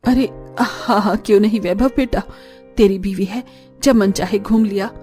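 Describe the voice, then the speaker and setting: A woman's voice: mid-range voice, normal speed, dark tone, faint music behind, natural voice. A woman voicing a caring, anxious middle-aged mother-in-law in a cartoon story, with a motherly, fussing manner. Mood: indulgent. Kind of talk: Hindi cartoon story dialogue.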